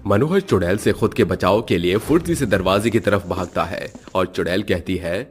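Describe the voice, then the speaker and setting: A man's voice: low voice, normal speed, faint music behind. A man narrating a cartoon horror story in a dramatic storytelling manner.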